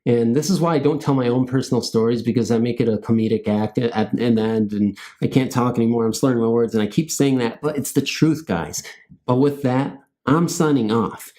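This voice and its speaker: Goofy rambling man with a mellow voice. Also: mid-range voice, brisk. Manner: goofy, self-mocking, rambling. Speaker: host of a scary story channel. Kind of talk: casual rambling chat to viewers.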